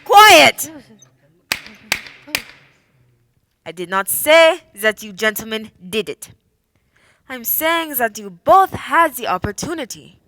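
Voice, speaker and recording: A woman's voice: sits high, big pitch swings, unhurried, pushed and bright, full character voice. A woman acting a stern, formal and commanding French police prefect in a radio play. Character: stern female French police prefect presiding over a case.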